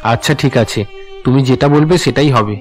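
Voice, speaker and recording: A man voicing a gentle, compliant young husband in a cartoon. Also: mid-range voice, normal speed, soft background music, lightly acted. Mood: reassuring.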